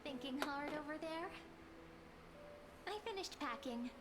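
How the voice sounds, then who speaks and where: A woman's voice: high-pitched, animated, a little slow, heavy background noise. A woman voicing a tender, hopeful young companion in a video game, encouraging and playful in manner. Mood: gently playful. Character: gentle, affectionate, hopeful young female companion.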